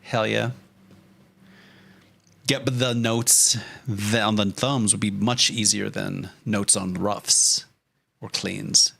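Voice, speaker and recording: A man's voice: fairly low pitch, level tone, takes it slowly, creaky, long pauses, pushed and bright. A man chatting casually on a livestream as he works, with a joking, self-deprecating manner and loose, sweary phrasing. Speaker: streamer, storyboard artist. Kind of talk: casual livestream chat while drawing.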